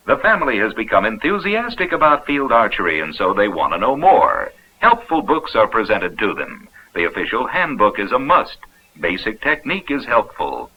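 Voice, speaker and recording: Deep-voiced American man narrating, dated film sound. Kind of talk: 1970s instructional film scripted narration. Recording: dull, noisy vintage 16mm film soundtrack.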